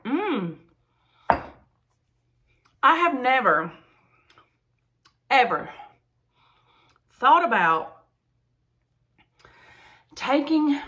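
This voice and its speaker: A woman's voice: medium pitch, bright, forceful tone. A woman, chatting casually as she cooks in her home kitchen. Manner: chatty, enthusiastic, says y'all often. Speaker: cooking vlogger. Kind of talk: casual cook-along chat.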